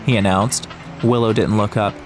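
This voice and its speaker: A man's voice: lowish voice, fairly even intonation, moderate pace. A man narrating an atmospheric audiobook story from a script. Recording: music behind the voice.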